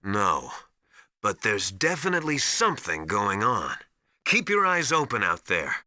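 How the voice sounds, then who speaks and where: Bright, forceful survival mentor. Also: male voice, lowish voice, wildly swooping pitch, unhurried, dry recording, clean studio sound, exaggerated voice. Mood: serious. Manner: instructive, matter-of-fact, encouraging. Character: seasoned male survival instructor, encouraging military mentor. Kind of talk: video game radio briefing.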